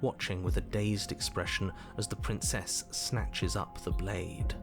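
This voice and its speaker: An actor playing a grave, disapproving male narrator of a horror game, speaking deliberately and ominously in literary, dramatic prose. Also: sits low, steady tone, vocal fry, music in the background. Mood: grim.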